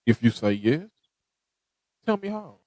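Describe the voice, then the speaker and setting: A man's voice: fairly high pitch, big pitch swings, a little slow, a little mellow, dry recording, rough recording. A man hosting a podcast, giving a direct, motivational talk that repeats its points. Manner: preachy, direct, repeats himself. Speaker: podcast host.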